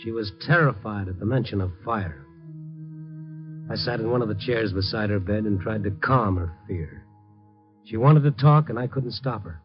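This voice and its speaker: An American actor playing a tough but kindly middle-aged reporter in 1950s radio noir, moving between terse narration and hesitant, gentle soothing. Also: male voice, on the low side, normal speed, faint music behind, close to an everyday voice. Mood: brooding. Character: tough but kindly male night-beat reporter, troubled. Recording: muffled old radio recording.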